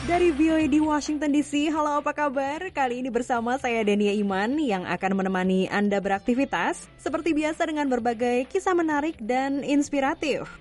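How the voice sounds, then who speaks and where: A woman's voice: high-pitched, a little fast, few pauses, darkish tone, faint music behind. A woman hosting a radio programme, presenting and putting questions to a guest. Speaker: radio host and interviewer.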